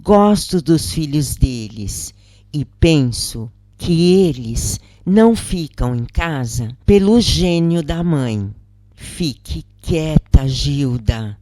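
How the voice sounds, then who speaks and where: A narrator with a Brazilian accent voicing a weary, patient working mother in a storybook, advising gently and in a measured way. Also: mid-range voice, dry recording.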